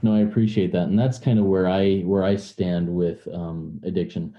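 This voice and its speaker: Dull recording, man, deep pitch, very mellow dark tone, reflective interviewer, measured and hesitant. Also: steady tone. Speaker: podcast host, interviewer.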